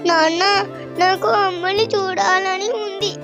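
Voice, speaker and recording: A performer playing an innocent, curious little village girl with childlike, plaintive questioning, in an animated moral story. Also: extremely high pitch, measured pace, over music.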